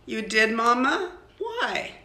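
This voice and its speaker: A woman's voice: fairly high pitch, very animated, slow pace, bright, forceful tone, reverberant, voice pushed up, exaggerated voice. A woman voicing an earnest young schoolgirl in a storybook, explaining things simply. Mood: hesitant, admiring.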